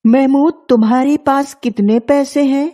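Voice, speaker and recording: A woman's voice: high-pitched, steady tone, unhurried, warm tone. A woman voicing an eager, boastful young village boy in a childlike, simple manner for a cartoon story. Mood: excited, boasting.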